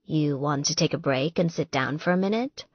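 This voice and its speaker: A woman voicing an affectionate, reserved man who teases gently, in audiobook dialogue. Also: deep voice, normal speed, breathy edge, no room sound, lo-fi sound, pitched below the performer's own voice. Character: affectionate, teasing athletic man, reserved boyfriend.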